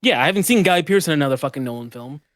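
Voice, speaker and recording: A man's voice: on the high side, lively intonation, racing, fairly bright tone, no echo. A man on a casual podcast chat, deflecting with dry, sarcastic, self-deprecating jokes. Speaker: podcast co-host.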